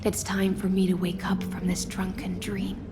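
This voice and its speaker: A woman voicing a poised, world-weary storyteller in a video game, theatrical and measured, with hushed phrase endings, over music. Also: medium pitch, monotone, close to an everyday voice. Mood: wistful, teasing. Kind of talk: dramatic video game narration and monologue.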